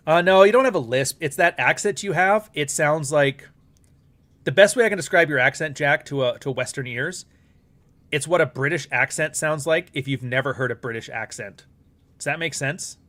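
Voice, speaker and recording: A man's voice: fairly high pitch, normal speed, a bit bright. A middle-aged Canadian man with a Canadian accent hosting a casual live stream, talking bluntly with frequent swearing and rambling asides. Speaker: livestream host.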